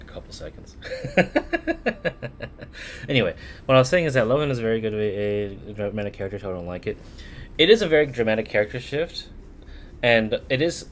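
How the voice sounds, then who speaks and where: Low-voiced man casually commentating a game. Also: slight background noise. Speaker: game streamer.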